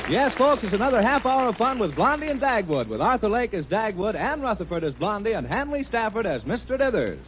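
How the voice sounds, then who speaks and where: A man's voice: high-pitched, sing-song, a little fast, few pauses, very noisy. A man announcing a 1950s radio comedy show in a showy, folksy, projected manner. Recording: muffled old radio recording, loud music.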